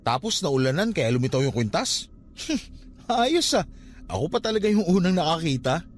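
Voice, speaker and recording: An actor playing a defensive, evasive young Filipino nephew in a radio drama, pleading and argumentative. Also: male voice, fairly high pitch, sing-song, normal speed. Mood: curious.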